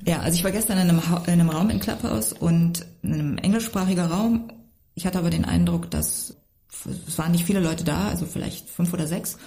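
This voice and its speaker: Low-voiced reflective woman from Berlin. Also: a little fast, mellow. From Berlin, Germany. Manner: reflective, rambling, adds tag questions. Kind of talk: casual podcast conversation.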